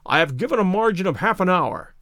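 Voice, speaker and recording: Man acting gentleman detective, storybook, commanding, urgent, clear exclamations, high-pitched, very animated. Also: brisk, no room sound, clean studio sound. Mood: impatient.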